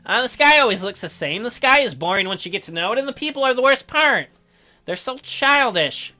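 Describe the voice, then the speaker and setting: A man's voice: very high-pitched, animated, a little fast, bright, forceful tone, faint music behind, pitched above the performer's own voice, full character voice. A man voicing an awkward, gloomy young man in a visual novel, hesitant and defensive. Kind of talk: acted visual novel dialogue.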